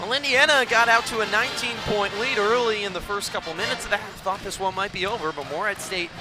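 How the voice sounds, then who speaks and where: A man's voice: high-pitched, fast talker, over loud music. An American man calling live basketball play-by-play for television with emphatic game calls. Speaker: play-by-play announcer. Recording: noisy arena with loud crowd. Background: crowd noise.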